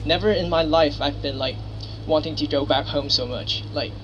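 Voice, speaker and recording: Young Hong Kong man, higher-pitched, speaking publicly. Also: normal speed, muffled recording, crowd noise. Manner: apologetic, unpolished, heartfelt. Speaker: exchange student speaker at a rally. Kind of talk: impromptu personal public speech. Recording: noisy ambient recording with vehicle sounds.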